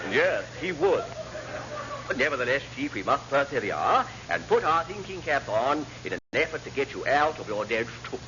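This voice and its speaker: Fussy, swooping naval padre. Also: male voice, mid-range voice, very animated, normal speed. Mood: earnest, helpful. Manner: pompous, churchy, ornate phrasing. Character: fussy, kindly naval padre, earnest and pious. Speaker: ship's chaplain and welfare officer. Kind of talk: classic radio sitcom acting. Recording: muffled, noisy old radio comedy recording.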